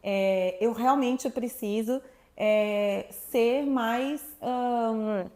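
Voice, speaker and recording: A talkative woman from Salvador, a guest sharing her story in a relaxed interview. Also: a little high, drawn-out words. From Salvador, Brazil. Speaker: guest, sexuality professional. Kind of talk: casual interview chat.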